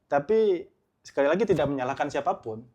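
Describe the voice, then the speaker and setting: A man from West Nusa Tenggara speaking as a guest on a podcast, earnest and measured with speech-like phrasing. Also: a little high, animated, darkish tone, dull audio. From West Nusa Tenggara, Indonesia. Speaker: guest, youth organization leader. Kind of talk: casual podcast interview.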